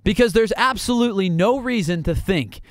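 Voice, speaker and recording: A man with a fairly high voice hosts a sports podcast, talking through his analysis alone in an emphatic, building way. He pushes his points with rhetorical questions and repetition. The sound is close and dry, with no room around it. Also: a little fast, no room sound. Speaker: podcast host. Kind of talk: solo sports analysis monologue.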